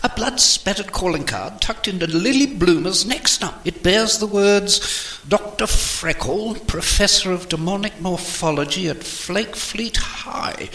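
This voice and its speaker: A man's voice: sits high, expressive, a little slow, pushed and bright, exaggerated voice. A man playing a pompous, bumbling Victorian police inspector in a comic audio drama. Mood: pompous, blustering. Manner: pompous, blustering.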